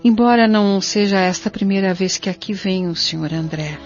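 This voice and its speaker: Atriz fazendo uma senhora aflita e cortês, som abafado. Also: female voice, mid-range voice, a little fast, lightly acted. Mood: courteous. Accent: Brazilian. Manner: formal, deferential. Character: Idalina, anxious, courteous pleading mother spirit. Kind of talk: audio drama acting. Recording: dull lo-fi audio with music bed.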